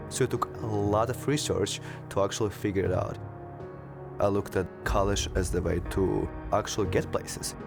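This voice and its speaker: A man's voice: lowish voice, gravelly. A young man in his twenties from Kazakhstan, a graduating student, speaking candidly and plainly about his path in an interview. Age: 20s. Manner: candid, plain, searching for words. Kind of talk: reflective interview talk. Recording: interview with music underneath. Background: background music.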